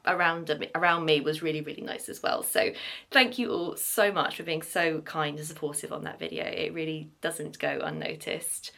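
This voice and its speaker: A young woman vlogging casually into her phone while out walking her dog in the morning, chatty and upbeat, with lots of fillers and a slightly croaky morning voice. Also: medium pitch, fairly bright tone. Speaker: lifestyle vlogger. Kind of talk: casual vlog chat to camera. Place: outdoors on a morning walk. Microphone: phone held while walking.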